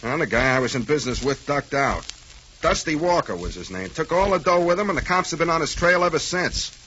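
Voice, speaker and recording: An actor playing a cowardly, wry, slangy sidekick with a shady past in a 1940s radio comedy-drama. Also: male voice, fairly high pitch, big pitch swings, a little fast, pushed and bright. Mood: wry, reminiscing. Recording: dull, noisy 1940s radio recording.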